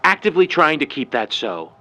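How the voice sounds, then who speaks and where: An actor playing a wary, slangy Hollywood agent in a hard-boiled 1940s-style noir audio drama. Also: male voice, a little high, big pitch swings, fairly quick, pushed and bright, slight background noise. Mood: grave warning. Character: wary fast-talking old Hollywood talent agent, man.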